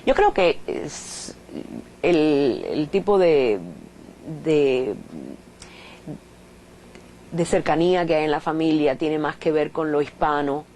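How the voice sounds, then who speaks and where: Cuban woman professor, lowish voice, reflective and chatty, faint hiss behind. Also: slow pace, warm tone, poor audio. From Cuba. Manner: thoughtful, frequent restarts and rhetorical questions. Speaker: professor, interviewee. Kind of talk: reflective interview answers.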